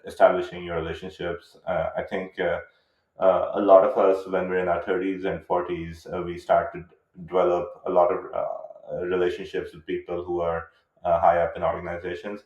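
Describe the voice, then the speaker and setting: Male interview host, very low voice, hesitant, echoey muffled room sound. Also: completely flat delivery, normal speed, darkish tone. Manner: hesitant, heavy with fillers. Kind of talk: conversational interview. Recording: roomy, dull sound.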